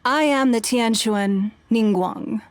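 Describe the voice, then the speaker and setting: An actress playing a poised, authoritative noblewoman acting as judge in a game cutscene, with a measured, formal delivery. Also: on the high side. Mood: composed.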